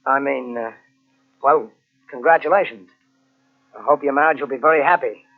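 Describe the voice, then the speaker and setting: A man's voice: mid-range voice, close to an everyday voice. Tinny old-radio tough young guy, played by an actor. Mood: sheepish, halting. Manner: halting, tough-guy slang. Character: jilted, sore but steely young man turned rescuer. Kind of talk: 1940s radio crime drama acting. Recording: muffled, tinny old radio recording from cassette.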